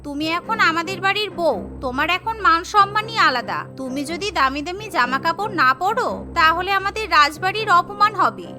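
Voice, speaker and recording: A woman's voice: extremely high pitch, lively intonation, a little fast, music in the background. A woman voicing a haughty, spoiled young sister-in-law in a cartoon, pert and emphatic. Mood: insistent. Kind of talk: cartoon character dialogue.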